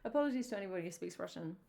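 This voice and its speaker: A woman's voice: fairly low pitch, gravelly. A woman from England with an English accent, a singer-songwriter chatting casually and self-mockingly between songs on her live stream. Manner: chatty and self-mocking, with soft trailing asides.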